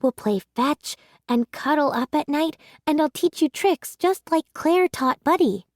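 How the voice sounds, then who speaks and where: A woman voicing an eager, affectionate young girl in a children's story, sweet, excitable and earnest. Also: high voice, measured pace, no room sound, clean studio sound, voice pushed up. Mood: delighted. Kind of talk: children's audiobook read-aloud.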